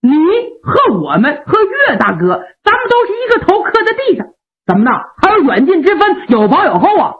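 A woman voicing two rowdy, hot-headed male warriors, loud, teasing and brash. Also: extremely high pitch, wildly swooping pitch, a little fast, pitched above the performer's own voice, exaggerated voice. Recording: phone-like narrow band.

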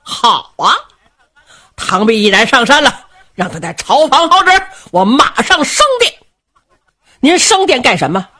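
Lady storyteller putting on a loud rowdy rebel king guy, booming and brash, husky and swinging all over in pitch. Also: very animated, a little slow, long pauses, full character voice. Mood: boastful. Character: boisterous carefree middle-aged male rebel king.

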